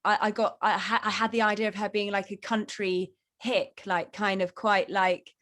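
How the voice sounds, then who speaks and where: A woman's voice: medium pitch, level tone, normal speed, a bit bright. A woman with a mid-range voice talks informally on a group video call. She sounds like an actor discussing work with colleagues, unscripted and conversational. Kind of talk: casual chat.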